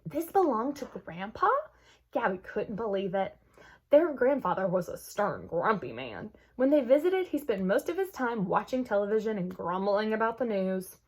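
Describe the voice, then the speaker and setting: A woman reading a children's chapter book aloud as an expressive storyteller. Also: medium pitch, normal speed.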